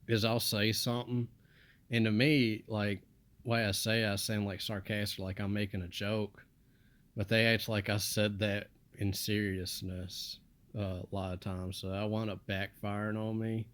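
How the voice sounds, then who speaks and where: A young man from the United States rambling in a flat, monotone voice with mumbled asides. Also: on the low side, normal speed. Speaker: vlogger. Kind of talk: casual rambling monologue.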